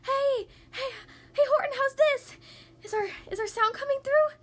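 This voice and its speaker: A woman's voice: extremely high pitch, moderate pace, voice pushed up. A woman voicing a tiny, frantic small-town mayor in a storybook, pleading urgently. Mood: urgent, calling out.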